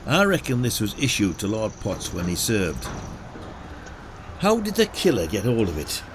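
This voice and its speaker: A man's voice: fairly low pitch, huge pitch swings, normal speed, background noise. A man with a British accent playing an ageing, friendly, stubborn retired detective in an audio drama, chatting in a chummy, mildly grumbling way. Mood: brisk, matter-of-fact.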